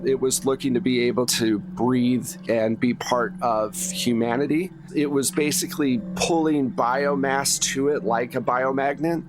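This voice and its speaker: A man running a tabletop horror game, improvising the narration in short phrases with pauses between them. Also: medium pitch, steady tone, noisy background. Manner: pausing, piecing out sentences in short phrases. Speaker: game master of a tabletop actual play. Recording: music playing under the voice. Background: music.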